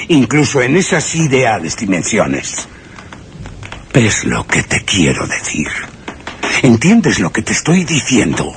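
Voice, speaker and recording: Gravelly, deranged, arrogant scientist. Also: male voice, mid-range voice, fairly bright tone, a little hiss or hum, exaggerated voice. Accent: Castilian Spanish. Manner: theatrical, grandiloquent, with halting dramatic pauses. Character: deranged, arrogant scientist threatening his friend at gunpoint. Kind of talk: Spanish suspense and horror radio theatre acting.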